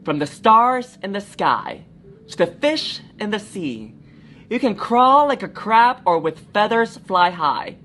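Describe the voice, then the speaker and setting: Woman playing a tender, unhurried storybook mother. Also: medium pitch, very animated, a bit bright, a little hiss or hum. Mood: loving, reassuring. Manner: tender, reassuring. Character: loving, tender mother singing or speaking to her child.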